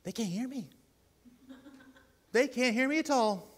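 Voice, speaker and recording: A man with a deep voice preaching a sermon in a church, earnest and pleading, with loud emphatic bursts. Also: expressive, a little slow. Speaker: lay preacher.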